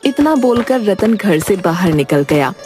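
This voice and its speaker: Female storybook narrator, lively intonation, medium pitch, scripted cartoon tale over music. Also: unhurried.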